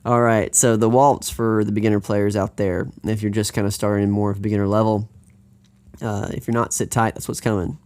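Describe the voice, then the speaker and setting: Upbeat American guitar teacher, lowish voice. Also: male voice, flat delivery, a little fast, darkish tone, clear, resonant tone. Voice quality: upbeat, chatty, rambling with restarts. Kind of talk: live online guitar lesson.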